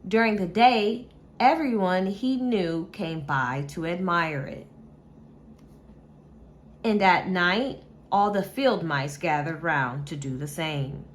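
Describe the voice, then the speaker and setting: Woman reading a kids story out loud, real slow, kinda low voice, expressive, bit of noise behind her. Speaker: teacher reading a picture book aloud. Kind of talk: children's story read-aloud.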